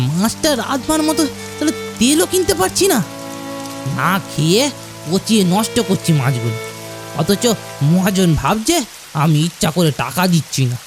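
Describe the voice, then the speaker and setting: A man voicing a poor, self-pitying fisherman in a fairy-tale cartoon, lamenting and hesitant. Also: high voice.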